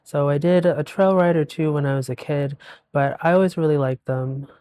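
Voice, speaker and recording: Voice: mid-range voice, steady tone, moderate pace, mellow, slight background noise. A person speaking casually and a little hesitantly in a documentary interview.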